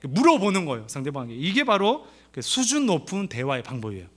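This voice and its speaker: A middle-aged man from the Gyeongsang region with a light Gyeongsang accent, preaching at a church family seminar in a lively, playful way, acting out quoted lines. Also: a little high, fast talker. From Gyeongsang region of Korea. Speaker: pastor.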